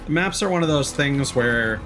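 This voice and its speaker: A man's voice: medium pitch, expressive, normal speed. A man giving casual, playful let's play commentary as a game streamer. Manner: playful, jokey. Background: game music.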